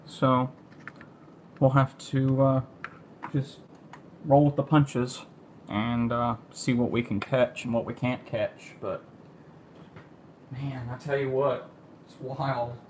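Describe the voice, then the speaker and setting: A man's voice: mid-range voice, steady tone, slow pace, long pauses. A man casually narrating an amateur livestream, rambling and thinking aloud as he goes. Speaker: amateur weather spotter livestreaming. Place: at home during a storm. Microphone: phone. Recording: noisy amateur livestream, ambient noise.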